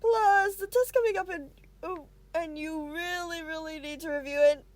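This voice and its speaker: A woman's voice: extremely high pitch, normal speed, no echo, pitched above the performer's own voice. A woman voicing an anxious, flustered young male student who rambles in a frantic way, for a story reading. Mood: nervous rambling.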